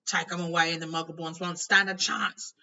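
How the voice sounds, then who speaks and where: A woman voicing a huge, hot-tempered, indignant man in a storybook, loud and growling. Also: fairly low pitch, level tone, brisk, recorded from a distance. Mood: furious. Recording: noisy, dull home recording.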